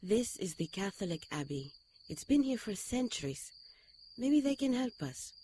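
An actress playing a solemn, stern, mystical woman in a radio drama, speaking in a formal, measured way. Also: medium pitch, sing-song, warm tone, a little hiss or hum, lightly acted. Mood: earnest. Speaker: radio drama actor and narrator. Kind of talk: radio drama narration and dialogue.

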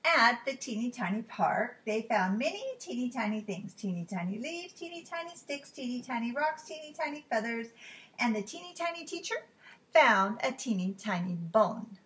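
High-voiced middle-aged woman reading storytime. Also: lively intonation, moderate pace, fairly bright tone. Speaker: teacher reading a storytime book. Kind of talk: read-aloud children's storytime. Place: home living room.

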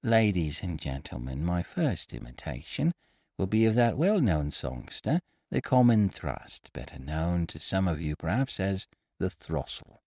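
A man voicing a dapper male bird imitator who gives his stage patter in a soft, formal way. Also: sits low, sing-song, measured pace, no room sound, studio quality, voice pushed down, heavily acted.

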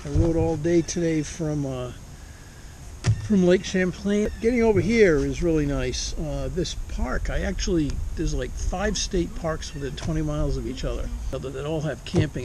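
A middle-aged man talks easygoingly to a camera set on a picnic table, rambling a little with fillers. His voice sits a touch high for a man. Clear wind and outdoor ambience run underneath. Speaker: motorcycle travel vlogger. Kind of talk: casual travel vlog.

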